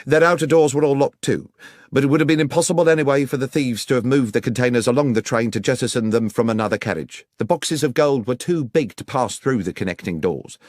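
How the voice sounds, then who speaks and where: A man's voice: mid-range voice, fast, darkish tone, dry recording, clean studio sound, pitched above the performer's own voice, lightly acted. A man voicing a dutiful police inspector in an audiobook, reporting facts earnestly and deferentially.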